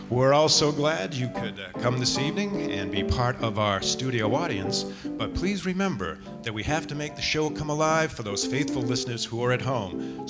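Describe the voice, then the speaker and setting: A man playing a showmanlike 1940s radio announcer, theatrical and deliberate, delivering scripted host narration. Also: medium pitch, lightly acted. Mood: genial showmanship. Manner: theatrical, deliberate, repeats words for effect. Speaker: host of a staged radio show.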